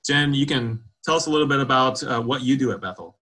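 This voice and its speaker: Bright-voiced man hosting an interview. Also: mid-range voice, husky. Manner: friendly, halting with fillers. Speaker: host. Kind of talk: video call interview.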